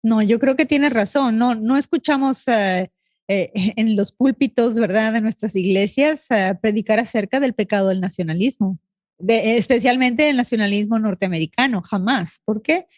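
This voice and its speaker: A woman co-hosting a radio panel, talking thoughtfully about faith with many pauses and fillers. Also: a little high, a little mellow, dry recording. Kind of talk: reflective faith discussion.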